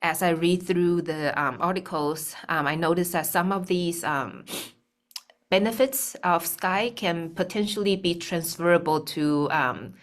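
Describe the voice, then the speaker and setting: Soft-spoken woman from Taiwan on a call. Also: on the low side. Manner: thoughtful, many ums and pauses. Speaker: guest, speech-language pathologist. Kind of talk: casual interview talk. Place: bright room indoors. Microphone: video call.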